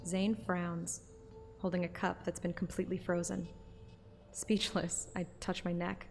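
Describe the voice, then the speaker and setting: A woman's voice: on the low side, level tone, unhurried, darkish tone. A woman reading a scripted first-person story like an audiobook, with music behind her voice. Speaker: narrator and streamer.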